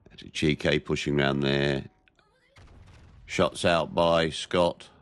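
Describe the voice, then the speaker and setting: A man with a head cold, sounding nasal and snotty, giving steady play-by-play commentary over a naval battle game. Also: a bass voice, clear, resonant tone, dry recording. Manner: measured, plain-spoken play-by-play. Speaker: gameplay commentator.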